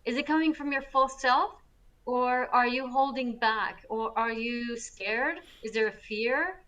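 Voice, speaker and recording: A high-pitched woman with a bright, forceful voice speaks with energy and emphasis. She co-hosts a casual spiritual chat show over a video call. Her voice sits a little back from the microphone. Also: unhurried, far from the mic, dull audio. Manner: energetic, emphatic, self-described loud. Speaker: co-host, astrologer.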